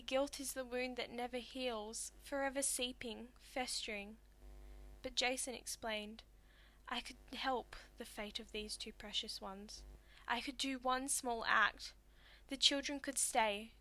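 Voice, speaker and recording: A woman performing a theatrical monologue as a tragic young Greek princess, wronged and anguished, with impassioned, declamatory delivery. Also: fairly high pitch. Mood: hushed, regretful. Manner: impassioned, declamatory, swinging from hushed to outcries.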